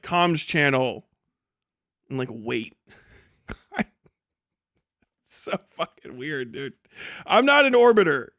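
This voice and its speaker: A man's voice: on the high side, fairly bright tone, no echo, studio quality. A tired man casually chatting and ranting on a livestream as its host, rambling and bluntly swearing. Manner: rambling, blunt, heavily profane. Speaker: livestream host and video creator.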